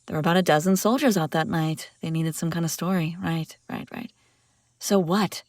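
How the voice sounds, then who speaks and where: A woman's voice: fairly low pitch, steady tone, moderate pace, no echo, studio quality, natural voice. A woman playing a commanding, wry escaped princess in an audio roleplay, speaking dramatically and expressively straight to the listener. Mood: incredulous, dawning realization. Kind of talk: immersive audio roleplay acting, one side of a dialogue.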